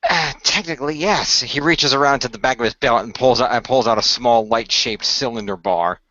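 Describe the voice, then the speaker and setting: Male game master, bright forceful voice, mid pitch, improvised narration, dry muffled recording. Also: normal speed, no echo. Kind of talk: improvised role-play narration and game talk.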